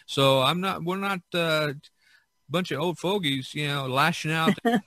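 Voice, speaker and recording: A middle-aged or older man hosting a casual podcast, talking in a halting, rambling way with many pauses. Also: on the high side, sharp tone, dry recording. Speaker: podcast host.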